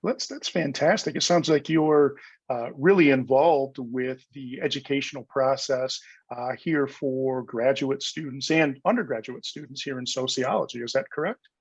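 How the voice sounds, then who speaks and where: Brief: male interviewer, husky voice, polite and measured, dry lo-fi recording. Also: medium pitch, no echo. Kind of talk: interview questions. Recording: dull lo-fi dry sound.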